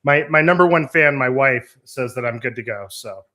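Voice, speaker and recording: Halting apologetic man. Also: medium pitch, bright, forceful tone. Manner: halting, apologetic, fumbles words. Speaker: debate guest. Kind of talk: casual chat.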